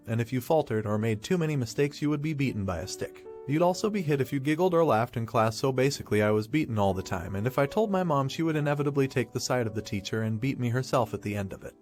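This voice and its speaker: Fast-reading male story narrator. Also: mid-range voice. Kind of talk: reading a reddit story aloud.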